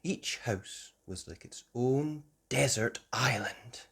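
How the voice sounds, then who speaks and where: A woman narrating a children's storybook aloud in an expressive, measured way. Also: a bass voice, vocal fry, slightly rough.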